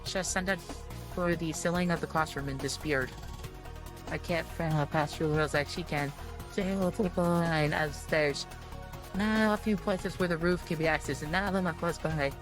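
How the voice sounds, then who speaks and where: Low-voiced woman stumbling through a read-aloud. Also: over music. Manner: halting, stumbling over words. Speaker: video game streamer reading aloud. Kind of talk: reading visual novel narration aloud, informal.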